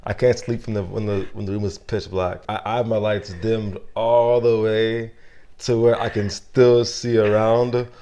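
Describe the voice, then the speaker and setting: A young man with a very deep voice that barely rises or falls speaks as an interview guest. He talks softly and thoughtfully, trailing off and restarting as he gathers his thoughts. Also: level tone, unhurried, lo-fi sound. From Nigeria. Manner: soft-spoken, reflective, many fillers and restarts. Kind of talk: casual interview conversation.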